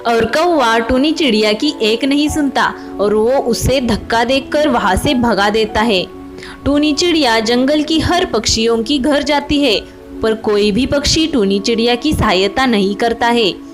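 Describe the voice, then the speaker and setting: A woman's voice: fairly high pitch, measured pace, soft background music. A woman reading scripted narration for a children's cartoon moral story.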